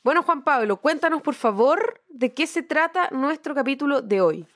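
A woman's voice: high-pitched, expressive, moderate pace, fairly bright tone. A woman with a Chilean accent co-hosting a podcast in a casual, colloquial, slangy chat. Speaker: podcast co-host.

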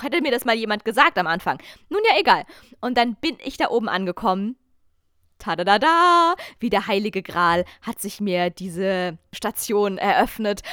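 A young German woman hosting a podcast, telling a travel story in a chatty, animated, self-mocking way. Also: fairly high pitch, no echo, clean studio sound. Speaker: podcast host. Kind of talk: casual storytelling chat.

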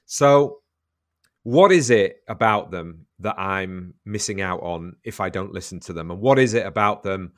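A man's voice: sits low, a little slow, a bit bright. Man, podcast host, casual interview, jokey, laughs along.

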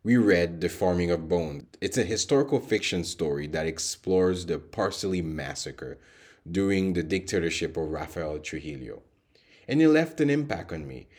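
A man's voice: low voice, darkish tone, slightly rough. A man hosting an interview podcast, earnestly introducing the show and his guest.

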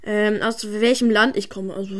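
A woman's voice: medium pitch, steady tone, normal speed, a bit bright. A woman from Germany answering viewer questions in a casual chat, stumbling over words and using slang. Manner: frequent stammers and restarts, slangy.

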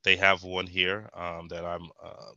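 A man's voice: low-pitched, monotone, a little slow, bright, forceful tone, no echo, highs cut off. A young man from Texas, a guest in a casual interview, rambling with frequent ums and restarts. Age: young adult.

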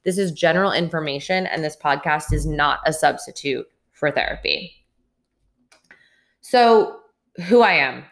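A woman's voice: sits low, a little slow, creaky. A woman in her late twenties hosting a podcast, talking earnestly and candidly, with some restarts. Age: 20s. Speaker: podcast host. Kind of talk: solo podcast monologue.

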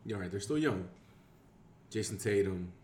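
A man's voice: lowish voice, animated, moderate pace, darkish tone. A man co-hosting a casual podcast, dry and argumentative, answering in short lines. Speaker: podcast co-host. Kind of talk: casual chat. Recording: echoey room with faint hiss.

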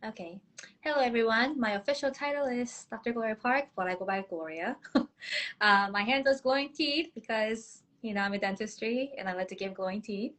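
A woman's voice: on the high side, a little fast, fairly bright tone. Bubbly young woman, laughing often. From South Korea. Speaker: podcast guest, dentist. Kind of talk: casual interview answers.

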